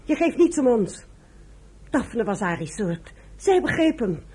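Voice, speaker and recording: Blunt young female militant, jealous and fierce, extremely husky, slightly high voice, radio drama. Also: sing-song, unhurried, mellow, exaggerated voice. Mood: hostile, challenging. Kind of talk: Dutch radio play acting.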